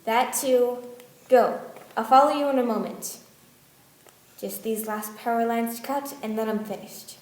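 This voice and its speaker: A woman's voice: a little high, fairly even intonation, unhurried, pauses often, darkish tone, natural voice. A woman playing a proud, embattled male Yankee inventor in a radio play, earnest and dramatic. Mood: grim resolve. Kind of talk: stage-style radio play acting. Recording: echoey room, faint music behind.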